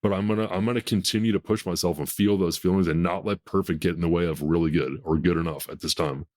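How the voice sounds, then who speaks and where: A man in his fifties with a deep voice, hosting a podcast in a blunt, emphatic, self-assured way. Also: fairly even intonation, fast talker, darkish tone. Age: 50s. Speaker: podcast host. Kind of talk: casual podcast conversation.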